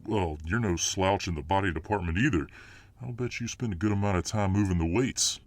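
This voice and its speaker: A man's voice: low voice, fairly quick, no room sound, lightly acted. A man playing a huge, confident but modest alpha fireman hero in a casual, self-assured ASMR roleplay. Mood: cocky, relaxed. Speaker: voice actor in audio roleplay.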